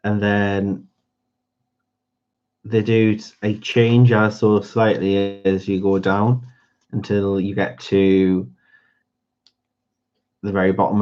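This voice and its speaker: Slow, low North East English man. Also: little pitch movement, darkish tone. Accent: North East England. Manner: rambling, hesitant, many fillers. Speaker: host. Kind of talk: casual chat during a colouring livestream. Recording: dull lo-fi sound.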